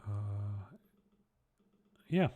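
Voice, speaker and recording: A young man chatting casually on a live coding stream, halting and restarting his sentences as he answers viewers. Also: fairly low pitch, expressive, slightly rough, narrow, tinny audio. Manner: halting, many restarts and repeated words.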